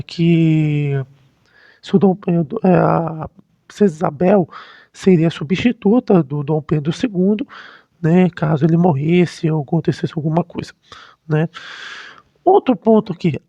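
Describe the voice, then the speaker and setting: A Brazilian man giving casual, unscripted commentary as a history vlogger, halting and often rephrasing himself mid-sentence. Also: high voice, vocal fry, mellow. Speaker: history YouTuber. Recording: dull, lo-fi, roomy sound.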